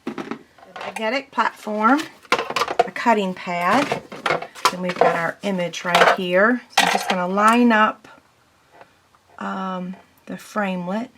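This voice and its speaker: A woman walks through a paper crafting project in a relaxed tutorial, narrating each step as she works. Her voice has gone squeaky from strain, and she fills pauses with ums. Steady background noise runs under the recording.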